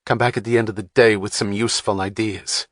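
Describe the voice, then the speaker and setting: A man's voice: lowish voice, steady tone, brisk, dry recording, studio quality, close to an everyday voice. A man voicing an irritable, profane male computer technician who snaps at everyone. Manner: snapping, abrasive, exasperated.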